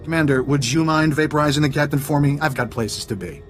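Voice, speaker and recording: A man's voice: fairly high pitch, fast, soft background music, natural voice. A man playing a smug, taunting, roguish smuggler villain in a video game cutscene. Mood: mocking. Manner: glib, mocking.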